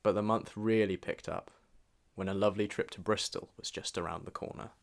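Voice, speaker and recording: A man's voice: low voice, steady tone, brisk, vocal fry. Young British man, casual vlogger. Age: early 20s. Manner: self-deprecating, rambling. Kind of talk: casual personal vlog and reflective narration.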